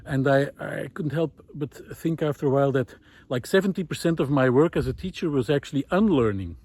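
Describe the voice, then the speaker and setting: A man giving an unscripted, reflective monologue while out walking, thoughtful and hesitant, pausing often as he finds his words. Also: fairly high pitch, expressive, a little mellow. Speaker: host of a walking audio diary, former teacher. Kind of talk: unscripted reflective spoken monologue recorded on a walk. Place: outdoors, walking.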